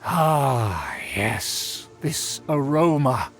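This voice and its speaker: An actor playing a pompous, haughty nobleman in a video game, speaking formally and floridly with drawn-out pauses. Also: mid-range voice, sing-song, faint music behind, full character voice. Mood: surprised, eager. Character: pompous, haughty nobleman of a fallen aristocratic clan.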